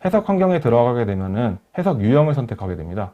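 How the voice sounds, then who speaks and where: A man narrating a software tutorial as a webinar instructor, explaining each step in a polite, formal way. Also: medium pitch, very animated, rapid-fire. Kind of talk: software tutorial narration over screen demo. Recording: dull lo-fi voice recording.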